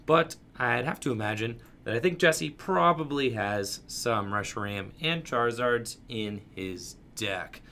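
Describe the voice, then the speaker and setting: Raspy-voiced hyped man commentating. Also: medium pitch, measured pace, hardly any pauses, sharp tone. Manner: upbeat, hyped streamer energy. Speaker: tournament commentator and stream host. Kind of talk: live card game commentary. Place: small game store studio.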